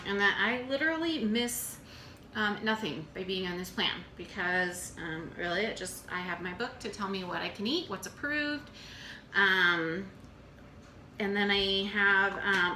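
A woman in her thirties casually showing her meal prep at home, talking in an unhurried way with many fillers. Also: medium pitch, bright, forceful tone. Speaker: fitness coach vlogger. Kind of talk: casual how-to vlog. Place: home kitchen, indoors. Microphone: camera on the counter. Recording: echoey kitchen with room noise.

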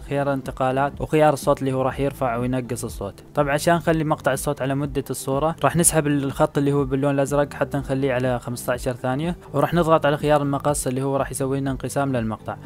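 Fast-talking man teaching. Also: mid-range voice, fairly even intonation. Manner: brisk step-by-step instructions with frequent "aah" fillers. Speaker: video editing tutor. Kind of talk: screen-recorded app tutorial.

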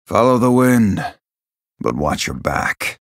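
A proud, weary wandering swordsman for a video game. The voice is low and unhurried, captured close and dry. Each line is terse and solemn, with a dramatic, brooding defiance. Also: sing-song, dry recording, exaggerated voice. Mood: grim resolve. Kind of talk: video game character voice lines. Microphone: close, dry studio voice-over.

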